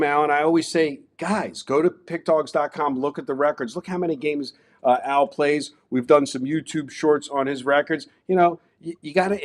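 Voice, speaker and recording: Host, male, Baltimore accent, slightly raised pitch, talky promo patter. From Baltimore, Maryland. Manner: chatty, promotional, rambling. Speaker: show host. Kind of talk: casual sports betting talk.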